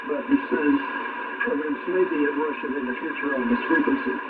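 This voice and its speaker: A man reading a scripted news digest as a radio host in a matter-of-fact manner, heard over shortwave radio. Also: a little high. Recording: noisy, narrow-band shortwave radio reception.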